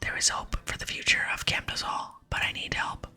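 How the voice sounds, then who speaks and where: A woman voicing a solemn, wistful last dwarf smith in a breathy ASMR whisper for a game reading. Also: a little fast, bright, forceful tone. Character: solemn lonely last dwarf smith, mournful but hopeful.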